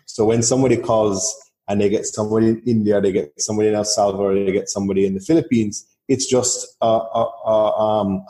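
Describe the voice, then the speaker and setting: A low-voiced Jamaican man with a dark, mellow tone, answering interview questions about his line of business. He speaks at length and restarts phrases, doubling words as he builds his point. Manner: fluent, repeats words while finding his point. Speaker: business process outsourcing guest. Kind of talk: podcast interview.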